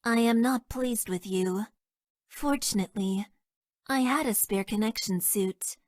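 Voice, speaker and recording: A young female mecha pilot from a video game, acted by a woman, speaks in a high, slow voice. She is detached and deadpan, with formal, hesitant phrasing and a shy affection underneath. The recording is dry and close. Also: no room sound, close to an everyday voice. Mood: deadpan, resigned. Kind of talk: video game character voice lines.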